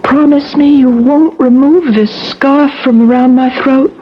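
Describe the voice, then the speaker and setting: High-voiced, drained young woman. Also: steady tone. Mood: exhausted, pleading. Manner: faint, halting, drained delivery. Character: weak, languid young woman, a vampire's victim. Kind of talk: 1970s radio drama acting. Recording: dull vintage radio, faint background noise.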